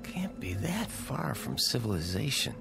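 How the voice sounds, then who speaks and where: A man's voice: medium pitch, big pitch swings, a little slow, gravelly, music in the background, full character voice. An actor playing a breezy, wisecracking, stubbornly reassuring everyman in a radio drama, with a wry, confident, casual delivery. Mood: grimly determined.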